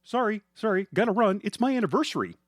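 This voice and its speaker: A man voicing a good-natured, easily flustered small-town baker in a comic audio story, with an excitable, hurried manner. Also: dry recording, clean studio sound. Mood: rushed apology. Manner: hurried, high-pitched, excitable.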